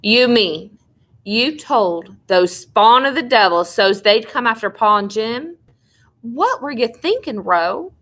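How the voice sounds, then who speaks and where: A woman's voice: on the high side, animated, slow, a bit bright, voice pushed up, exaggerated voice. Woman acting a stern young countryman, accusing, authoritative, folksy phrasing, storybook eldest brother.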